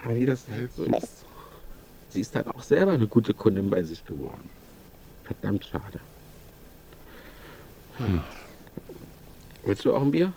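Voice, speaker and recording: A nostalgic older regular drinker in an audio drama. The voice is gravelly and mellow, the delivery laid-back and rambling. A faint ambient noise lies behind it. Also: male voice, on the low side, big pitch swings, heavily acted. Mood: nostalgic, resigned. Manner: unhurried, rambling, matter-of-fact. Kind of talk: naturalistic audio drama dialogue.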